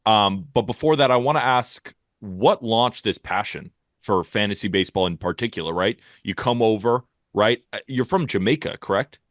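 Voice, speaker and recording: Male host, very deep voice, dry close sound, upbeat questions. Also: normal speed, no room sound. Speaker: podcast host. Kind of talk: casual interview hosting.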